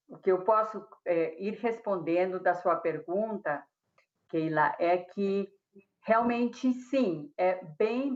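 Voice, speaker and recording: A Mexican woman, a speech therapist, speaking Portuguese with a Mexican Spanish accent, halting with many fillers and restarts on a video call. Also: medium pitch, phone-quality sound. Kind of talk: hesitant conference talk. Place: room at home.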